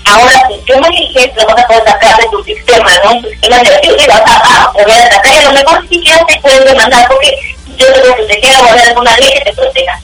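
A woman's voice: fairly high pitch, fast. A woman from Mexico with a Mexican accent, giving her opinions emphatically in a casual discussion as an interview guest. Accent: Mexican Spanish. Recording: roomy, distant phone-like call with music.

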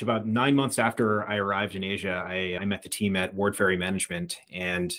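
A man in his thirties from the Philadelphia area, a fund manager talking as a podcast guest in a relaxed, conversational way that often restarts phrases. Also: lowish voice, gravelly. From United States (Philadelphia area). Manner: conversational, frequent restarts and repeated words. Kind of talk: podcast interview.

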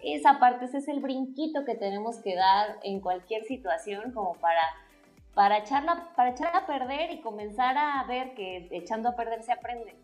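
A woman's voice: fairly high pitch, normal speed, faint music behind. Playful Mexican woman hosting a chat. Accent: Mexican Spanish. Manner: playful, expressive, calls people "corazón". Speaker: host. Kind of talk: casual talk-show chat.

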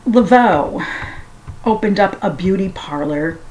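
A woman giving a casual spoken history talk, unhurried and halting with frequent fillers. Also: mid-range voice, animated, darkish tone, a little hiss or hum, rough recording. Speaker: history storyteller.

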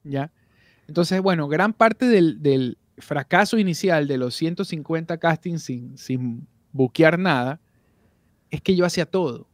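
Man guest with a somewhat high voice, candid and reflective, lots of restarts, over a video call, dry sound. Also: animated, unhurried, no room sound. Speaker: voice actor guest. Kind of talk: casual podcast interview.

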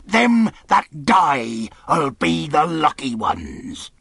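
A man voicing a menacing, wrathful pirate leader in an audiobook, rough and growling, speaking in rustic sailor dialect. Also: a little high, sing-song, drawn-out words, sharp tone, no room sound, clean studio sound, voice pushed up, heavily acted. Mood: furious, threatening. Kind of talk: audiobook character acting.

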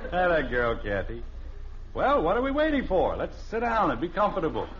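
An actor playing a middle-aged American father, calm, wry and fond, reassuring and gently in charge, in an old radio family comedy. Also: a little high, moderate pace, crowd noise, background noise. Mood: wry, genial. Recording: dull old radio recording.

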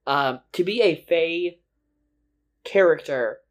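A podcast co-host speaking slowly in a middle-pitched voice. The talk is casual and gushing, broken up by frequent laughs. The delivery is unhurried and drawn out. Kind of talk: casual chat.

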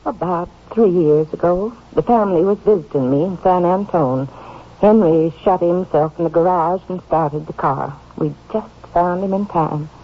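An actress playing a worried, obliging small-town American aunt in a 1950s radio drama, talkative and polite. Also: female voice, lowish voice, moderate pace, breathy edge. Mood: troubled. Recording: muffled old radio recording.

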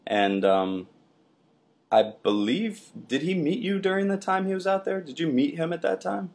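A man's voice: fairly high pitch, lively intonation, moderate pace, gravelly, a little mellow. A man interviewing a fellow dancer in a laid-back, casual way, with lots of "like" and "you know". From Washington DC area. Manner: laid-back, filler-heavy.

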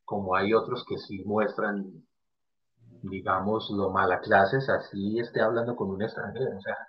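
A man's voice: on the low side, level tone, moderate pace. Colombian man, muffled lo-fi sound. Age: late 30s. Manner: chatty, emphatic, many discourse tags. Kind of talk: casual chat. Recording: muffled lo-fi audio.

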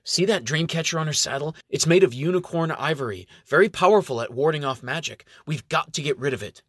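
A man's voice: animated, fairly quick, fairly bright tone, no echo, studio quality. A man voicing a skinny, boastful male elf magician in a raised, theatrical voice. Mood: eager. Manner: high, eager, theatrical.

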